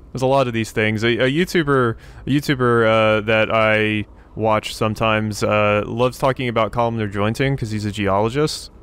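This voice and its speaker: A man's voice: fairly low pitch, steady tone, normal speed, fairly bright tone, clean tone. A man giving casual let's-play commentary, thinking aloud in a rambling way and often repeating his phrases. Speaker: gaming YouTuber, let's player. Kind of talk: casual video game commentary. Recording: faint game ambience noise. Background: game ambience.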